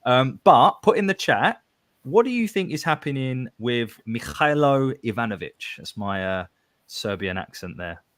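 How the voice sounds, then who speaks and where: Man, podcast host, upbeat banter, average pitch. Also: lively intonation, unhurried, a bit bright. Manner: upbeat, reads out chat messages with laughs. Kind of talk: live football podcast chat.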